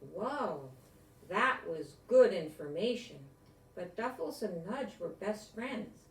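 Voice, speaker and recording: Animated older woman reading slowly, echoey room. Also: medium pitch. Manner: expressive, asks listeners questions and adds asides. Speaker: storyteller reading a picture book aloud. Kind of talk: animated read-aloud to children. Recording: echoey room, distant mic.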